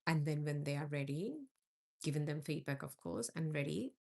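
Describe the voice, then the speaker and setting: A woman's voice: low voice, little pitch movement, moderate pace, vocal fry, warm tone. A middle-aged woman from India hosting a live stream, chatting with viewers and answering their comments. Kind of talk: casual live-stream chat.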